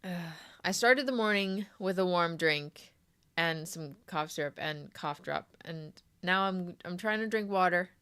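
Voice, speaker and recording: A young adult woman hosting a crochet live stream, chatty and friendly with viewers while sick with a sore throat, often clearing her throat. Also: on the low side, measured pace, fairly bright tone. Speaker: crochet livestream host. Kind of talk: casual live stream chat.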